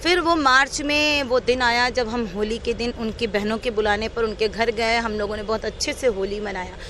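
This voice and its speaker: A woman with a fairly high voice gives a detailed, earnest account in an interview. She speaks unscripted, outdoors in a city, with faint background noise. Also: fairly even intonation, moderate pace, a bit bright. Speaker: interviewee telling her own account.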